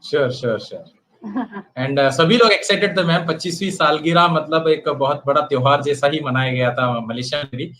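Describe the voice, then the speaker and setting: A man's voice: fairly high pitch, fairly quick, fairly bright tone. A man hosting an interview in a conversational way. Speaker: interview host.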